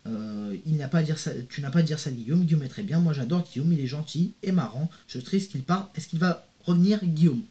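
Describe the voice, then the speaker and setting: A young man ranting casually to camera as a YouTuber, animated and slangy, constantly addressing the viewer and restarting his sentences. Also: a little high, fairly quick, few pauses, lo-fi sound. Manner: reads out hostile comments, many fillers and restarts.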